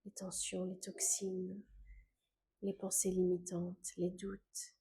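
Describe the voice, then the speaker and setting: Slow, soft-spoken woman guiding meditation. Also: fairly low pitch, slightly rough. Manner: calm, gentle, unhurried with long pauses. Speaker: meditation guide. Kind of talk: scripted guided meditation.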